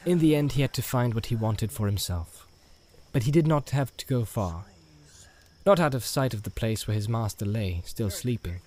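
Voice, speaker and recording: Male narrator for a fantasy audiobook, mid-range voice, measured literary storytelling. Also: medium pitch, animated, darkish tone.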